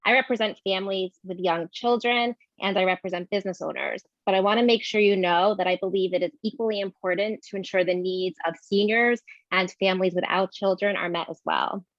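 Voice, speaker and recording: A woman in her forties gives a steady, earnest prepared opening statement as a debate candidate. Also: mid-range voice, fairly quick, dry recording, dull audio.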